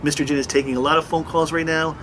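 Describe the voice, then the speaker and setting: An actor playing a calm, matter-of-fact male office colleague in an audio drama. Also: on the high side, fast talker, slight background noise, lightly acted.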